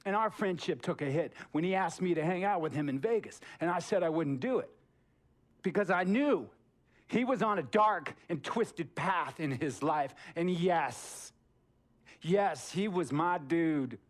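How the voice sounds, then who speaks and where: Authoritative executive, slightly high voice, mocking and commanding. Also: male voice, animated, unhurried. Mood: stern. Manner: lecturing, sarcastic, authoritative. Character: stern middle-aged male team executive. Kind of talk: video game cutscene acting.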